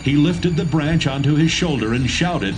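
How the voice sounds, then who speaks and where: A man narrating a dramatised Bible reading in a steady, measured way. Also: fairly high pitch, rough recording. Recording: narration over music.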